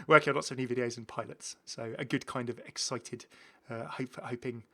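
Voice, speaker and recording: A man's voice: mid-range voice, steady tone, normal speed, creaky, fairly bright tone, breathy edge. A man hosting a gaming video in a casual, chatty commentary, rambling and repeating his phrases. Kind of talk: casual commentary on game cosmetics.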